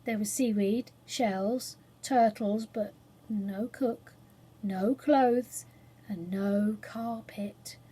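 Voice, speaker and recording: A woman reading a children's story aloud as an expressive storyteller. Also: medium pitch, very slow, husky.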